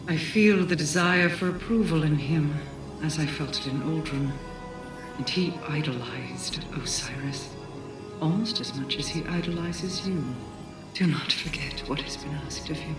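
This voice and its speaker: A woman playing a regal, stern and mysterious queen, measured and formal as she gives counsel and orders in a game. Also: sits low, natural voice. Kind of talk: dramatic game dialogue. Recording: echoing, distant, with music behind.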